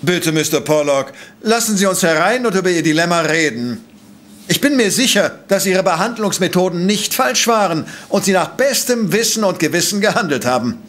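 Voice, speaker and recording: A man's voice: sits high, moderate pace, slight background noise. A man playing a courteous, formal, authoritative professor detective in a German audio drama. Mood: polite, firm. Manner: formal, precise, calm.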